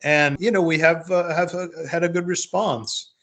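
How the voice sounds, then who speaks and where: A man's voice: a little high, fairly even intonation, moderate pace, fairly bright tone. A man talking casually as a podcast host, reflective and rambling, often repeating words as he goes. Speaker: podcast host, playwright and producer. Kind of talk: casual conversational chat.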